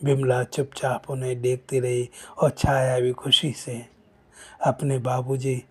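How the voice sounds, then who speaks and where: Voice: medium pitch, little pitch movement, slow pace, slightly rough. A storyteller narrating a moral family story, occasionally stumbling and repeating words while reading.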